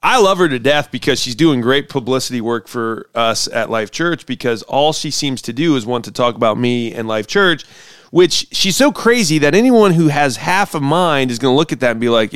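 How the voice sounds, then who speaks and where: A man, a pastor and show host, chatting casually and telling stories in an animated way, acting out quotes. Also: medium pitch, clean tone, dry recording, clean studio sound. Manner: animated, acts out quotes mockingly. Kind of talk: casual chat and storytelling.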